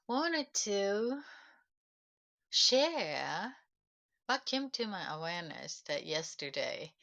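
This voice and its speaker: A woman with a Japanese accent, a native Japanese speaker, giving a reflective solo livestream talk in halting English, pausing to search for words. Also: mid-range voice, sharp tone. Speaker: self-help channel host.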